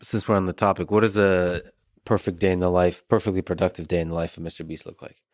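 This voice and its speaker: A man hosts a podcast interview in a very deep, low voice. He asks slow, measured questions, often restarting mid-phrase. The sound is dry and close. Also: level tone, a little mellow, dry recording. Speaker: podcast host. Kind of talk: interview conversation.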